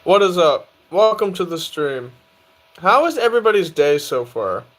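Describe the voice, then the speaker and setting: A man in his early twenties casually chatting on a live stream, reading out and answering viewer questions in a relaxed, easygoing way. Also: high voice, normal speed. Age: 20s. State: tired. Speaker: livestreamer reading chat.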